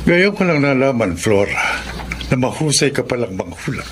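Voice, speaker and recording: Male actor as discreet lawyer, courteous and measured, radio drama, faint ambience behind. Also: mid-range voice, big pitch swings, noisy background, natural voice. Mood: thoughtful. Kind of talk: Filipino radio drama acting.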